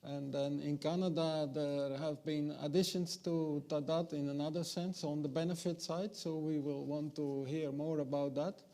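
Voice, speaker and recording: Male panel moderator, dark and gravelly, monotone delivery. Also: fairly high pitch, moderate pace, hardly any pauses. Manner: formal, hesitant introductions. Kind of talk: chairing a conference panel.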